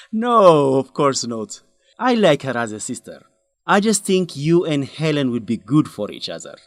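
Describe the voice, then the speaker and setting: An earnest young guy in a radio play, fairly high voice that swings up and down a lot, lively and scolding, recorded close and dry with no room sound. he presses his friend hard and sounds sure of his own principles. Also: takes it slowly, dry recording, heavily acted. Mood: teasing, friendly. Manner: animated, urging, scolding. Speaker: friend and fellow researcher. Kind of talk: radio drama acting.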